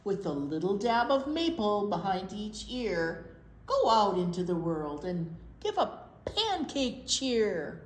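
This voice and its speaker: A woman reading aloud to small children as a storytime teacher, with expressive, drawn-out delivery and playful asides. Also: medium pitch. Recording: roomy echo, faint noise.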